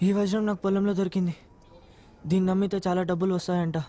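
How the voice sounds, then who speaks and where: A male actor plays a stubborn, nervous man in a film drama. He is defensive, speaking high and extremely quickly in a mellow, narrow-ranged tone. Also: little pitch movement, close to an everyday voice. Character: nervous, stubborn man guarding a found diamond. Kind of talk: film dialogue.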